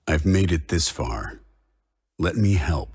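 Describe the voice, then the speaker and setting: Deep, gravelly, echoing warrior. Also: male voice, sing-song, slow, heavily acted. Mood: solemn resolve. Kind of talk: video game character acting. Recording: long hall-like reverb.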